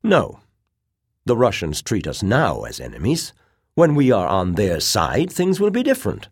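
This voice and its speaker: A man's voice: lowish voice, very animated, unhurried, dry recording, studio quality, pitched above the performer's own voice, full character voice. A man voicing a fervent, bitter, scornful aristocratic officer in an audiobook, with a ringing, heated delivery. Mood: heated conviction. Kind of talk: dramatized audiobook dialogue.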